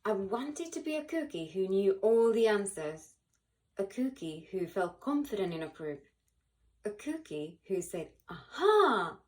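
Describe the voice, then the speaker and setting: A woman reading a children's picture book aloud in an animated, expressive way, with dramatic pauses and emphasis. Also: medium pitch. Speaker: volunteer children's book reader.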